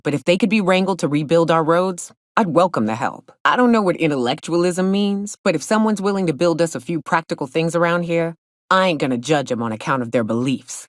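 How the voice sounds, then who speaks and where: Casting: a gruff, hard-drinking frontier huntress for a game. Low-pitched, folksy and sardonic, with swooping, animated delivery. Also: female voice, no room sound, clean studio sound. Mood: blunt, skeptical. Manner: folksy, blunt, sardonic drawl. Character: hard-drinking, gruff, wry frontier woman guide and hunter. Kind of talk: video game character dialogue.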